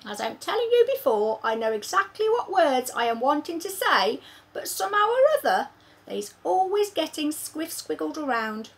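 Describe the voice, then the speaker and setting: Storybook role: a woman doing a bumbling, very old gentle giant, high and loud, tangling every sentence. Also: sing-song, moderate pace. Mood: lively. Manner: bumbling, mangled grammar and made-up words. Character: kindly very old male giant who jumbles his words.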